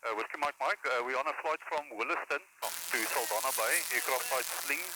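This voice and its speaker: A man's voice: medium pitch, fairly quick, heavy background noise. A man making clipped, procedural pilot calls over an aircraft radio. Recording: cockpit radio audio under loud music.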